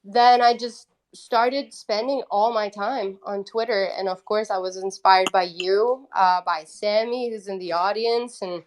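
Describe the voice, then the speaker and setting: A woman's voice: mid-range voice, steady tone, a little slow, few pauses, a little hiss or hum, poor audio. Woman chatting casually. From Italy. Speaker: guest, independent musician. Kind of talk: casual conversational storytelling.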